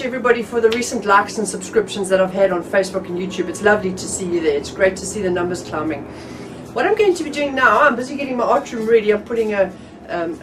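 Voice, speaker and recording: A woman's voice: fairly high pitch, low-quality recording. A middle-aged woman teaching drawing from her home art room, chatty and a little nasal from a cold, with frequent ums and restarts. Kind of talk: casual art tutorial.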